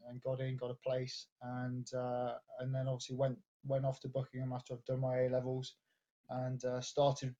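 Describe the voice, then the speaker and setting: Even-toned young man. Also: medium pitch, dull audio. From Leicestershire, England. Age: 20s. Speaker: interview guest, business graduate. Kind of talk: casual chat.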